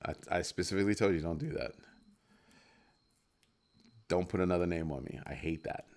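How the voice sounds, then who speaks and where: A man's voice: brisk, a little mellow. A man hosting a casual livestream, playfully reacting to comments from his viewers. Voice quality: deep voice. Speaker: livestream host.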